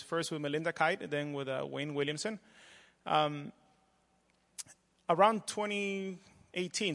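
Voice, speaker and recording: A man's voice: on the high side, fairly bright tone. Earnest man in a reverberant sanctuary. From Peru. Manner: earnest, measured, with frequent "uh" fillers. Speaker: pastoral candidate. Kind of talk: church introduction speech. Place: large church sanctuary. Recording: long hall reverb.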